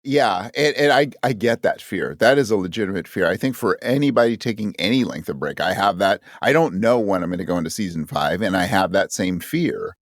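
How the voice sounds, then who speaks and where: A man's voice: sits low, expressive, brisk, few pauses, no echo, clean studio sound. A man speaking as a podcast guest in a casual interview, earnest and emphatic, often acting out imagined remarks. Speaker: podcast guest and audio drama creator.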